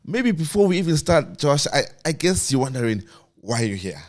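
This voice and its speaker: A man's voice: mid-range voice, very animated, normal speed. A man hosts a podcast. He talks in an eager, chatty way and keeps stumbling over his words. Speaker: podcast host. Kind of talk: casual chat.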